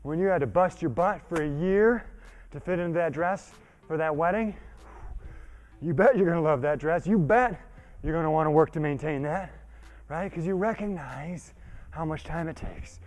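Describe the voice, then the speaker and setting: A man's voice: on the high side, normal speed, a little mellow. A man leading a workout while giving a motivational talk, conversational and emphatic, with frequent check-ins and some breathlessness from exertion. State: exerting, getting winded. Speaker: fitness trainer leading a workout. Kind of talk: motivational talk during exercise.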